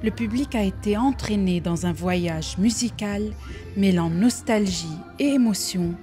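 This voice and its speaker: A woman reporter reading a measured news voice-over. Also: medium pitch, faint music behind. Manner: even, measured narration.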